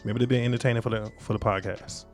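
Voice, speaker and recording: A man's voice: lowish voice, steady tone, fast, darkish tone, soft background music. A man hosting a reaction video, giving casual, conversational commentary in an informal, slangy way.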